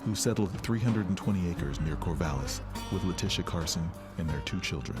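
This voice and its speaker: Very deep-voiced male documentary narrator over music. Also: lively intonation, a little fast. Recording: music behind the voice. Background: background music.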